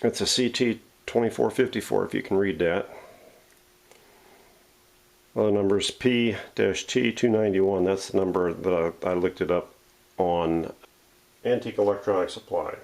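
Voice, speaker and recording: A man's voice: low-pitched, moderate pace, gravelly, long pauses, darkish tone. A man hosting a casual, unscripted workshop demonstration, talking through each step as he handles the parts. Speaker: host of a vintage radio restoration channel. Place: home workshop.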